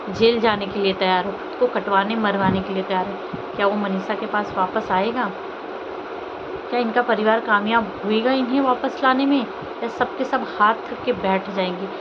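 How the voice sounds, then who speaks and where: A woman's voice: mid-range voice, steady tone, normal speed. A woman from India vlogging informal, opinionated commentary to viewers in an emotive way, with rhetorical questions and repetition. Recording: dull lo-fi audio, loud vehicle noise.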